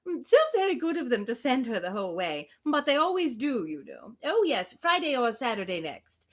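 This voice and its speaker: Woman acting a high, swooping, chattering spinster gossip. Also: very animated, brisk, fairly bright tone. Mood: gushing, excited. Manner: breathless, rambling, effusive. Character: chattering, gushing, good-natured spinster gossip. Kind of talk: classic novel read aloud in character.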